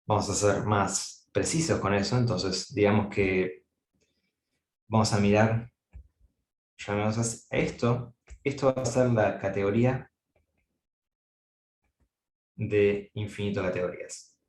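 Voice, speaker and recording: A man's voice: lowish voice, steady tone, measured pace, pauses often, roomy sound. A man giving an academic mathematics lecture, thinking aloud with frequent fillers and restarts.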